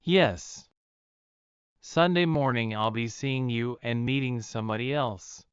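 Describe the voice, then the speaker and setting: A male actor plays a mild, earnest writer in an audio drama, with an exceptionally clean, smooth voice at middle pitch. He sounds polite and considerate, recorded dry and a little dull. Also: unhurried, no echo, close to an everyday voice.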